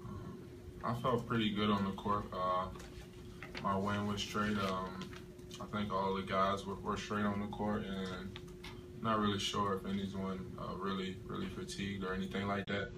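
A young man, a college basketball player, giving brief, low-key casual answers at a postgame press conference. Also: monotone, normal speed. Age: young adult. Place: press room with sponsor backdrop. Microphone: table mic, recorded by a camera from the floor. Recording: distant noisy room recording.

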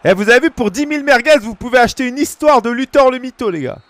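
A man in his thirties from France, streaming a football game and chatting casually with viewers, breaking into shouts at big moments. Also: very high voice, fast talker, no echo. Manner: excitable, shouts at goals, chats casually with viewers. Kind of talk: live gaming commentary to chat. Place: small room at home.

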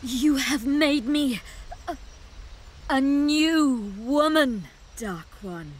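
An actress voicing a grateful, devoted woman in a video game, speaking slowly and low. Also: soft background music.

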